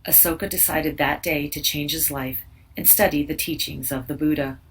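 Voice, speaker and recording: A woman's voice: low voice, fairly even intonation, moderate pace. A woman narrating a scripted educational read-aloud for children. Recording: distant mic with background noise.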